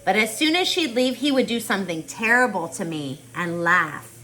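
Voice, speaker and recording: A woman teaching on a video call, reading aloud and chatting with children in an expressive, encouraging way. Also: medium pitch, normal speed, slight background noise, rough recording. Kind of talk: online storybook read-aloud with casual chat.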